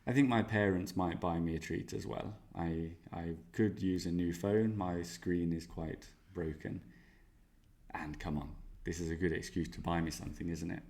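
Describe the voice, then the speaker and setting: Man doing a young male student, Spanish accent, upbeat and jokey, low voice. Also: fairly even intonation, moderate pace, a little mellow. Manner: upbeat, jokey, boastful asides. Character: young male language student, cheerful and self-mocking. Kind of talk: role-played exam interview answers.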